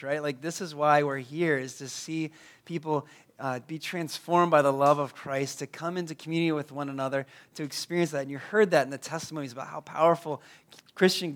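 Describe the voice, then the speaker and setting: A hoarse, raspy-voiced man in his thirties, a pastor praying and then preaching, who often stumbles and repeats words. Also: a little high, fairly bright tone. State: hoarse from a cold. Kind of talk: prayer and sermon.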